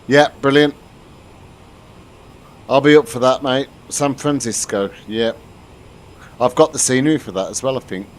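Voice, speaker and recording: A man's voice: medium pitch, moderate pace, pauses often. A man from the UK live-streaming a flight simulator, chatting casually with his viewers and explaining the cockpit as he flies. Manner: chatty, answers viewers, frequent restarts. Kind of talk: live-stream commentary to chat.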